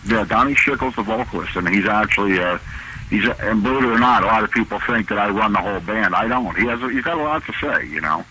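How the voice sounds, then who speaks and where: Guy drummer chatting on a radio show, rambly, repeats himself, bright kinda lowish voice, slight hiss behind. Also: fast talker, rough recording. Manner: rambling, repeats words, many fillers. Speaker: drummer and interview guest. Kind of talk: casual radio interview talk.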